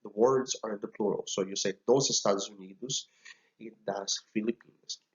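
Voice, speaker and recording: A man teaching a recorded slide lesson, speaking deliberately and repeating each phrase for learners to follow. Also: lowish voice, dull audio. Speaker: language teacher.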